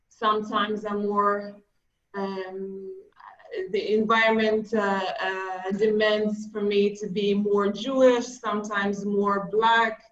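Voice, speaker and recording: A woman in her thirties from Israel, speaking as an activist on a panel, measured and hesitant with frequent pauses to search for words. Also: mid-range voice, roomy sound, dull audio. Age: 30s. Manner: measured, hesitant with many ums. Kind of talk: panel discussion remarks.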